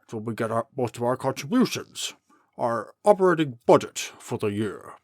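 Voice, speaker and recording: A man's voice: mid-range voice, very animated, moderate pace, darkish tone, no room sound, clean studio sound. A man playing a fussy, blustering old king turned mayor in a comic dub, pompous and cajoling like a politician. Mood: pompous, pressing.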